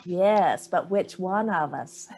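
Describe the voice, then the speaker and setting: A woman's voice: fairly low pitch, slow, gravelly, a little mellow. Actress as a cutting, hard-drinking older mother, dry and sardonic. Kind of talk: staged play reading.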